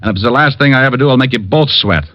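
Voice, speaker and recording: An actor playing a tough, wisecracking private detective, terse and sardonic, in an old radio drama. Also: male voice, mid-range voice, very fast, slight background noise, close to an everyday voice. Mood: firm, pressing. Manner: terse, hard-boiled, sardonic. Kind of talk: 1940s-50s radio drama acting. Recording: dull vintage radio recording.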